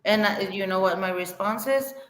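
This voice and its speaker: A woman's voice: medium pitch, normal speed, fairly bright tone. A woman speaking English with a Spanish-speaking accent, bluntly and defiantly chatting on a panel as host over a webcam. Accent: Spanish-speaking, non-native English. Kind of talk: casual panel chat. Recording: roomy echo, faint noise.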